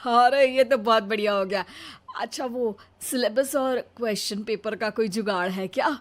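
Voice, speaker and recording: A woman's voice: a little high, normal speed, close to an everyday voice. A woman voicing an overconfident, scheming but dim married man with a breezy, self-assured manner in a story. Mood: eager, scheming.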